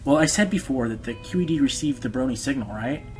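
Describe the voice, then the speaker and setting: A man's voice: medium pitch, animated, fast, close to an everyday voice. Man acting defensive, earnest pony radio host. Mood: hesitant, uneasy. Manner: earnest, hesitant, turning indignant. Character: male Pegasus pony radio host, defensive, passionate, self-deprecating. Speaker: radio show host being interviewed. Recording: music behind the voice.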